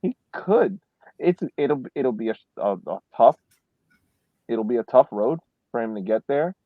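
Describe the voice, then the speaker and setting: A man giving casual sports analysis on a podcast, building his points deliberately over a thin call connection. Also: mid-range voice, animated, dry recording. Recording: dry, thin phone-like line.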